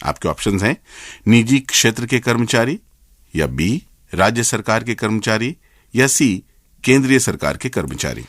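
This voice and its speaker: A man's voice: on the low side, big pitch swings, normal speed, fairly bright tone, dry recording, clean studio sound. A man co-hosting a radio show, reading scripted links and questions. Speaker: radio co-host.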